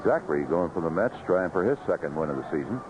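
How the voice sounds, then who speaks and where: Male baseball announcer, live play-by-play, very lively intonation, dull and noisy old radio sound. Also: low voice, fast. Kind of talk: live play-by-play sports commentary. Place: outdoor ballpark broadcast booth. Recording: noisy muffled vintage 1970s radio broadcast.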